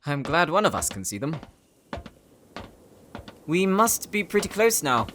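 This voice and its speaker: A man's voice: fairly high pitch, sing-song, a little slow, fairly bright tone, a little hiss or hum. A man playing an eager, nervous tinkerer who panics easily, in an audio drama. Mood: anxious. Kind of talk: anime audio roleplay acting.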